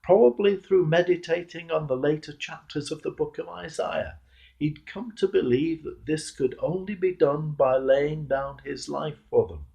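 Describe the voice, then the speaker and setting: Male cleric for a read sermon: slightly high, gravelly voice, solemn and measured, with dramatic emphasis. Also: warm tone, highs cut off. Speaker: clergyman. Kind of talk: read devotional sermon address.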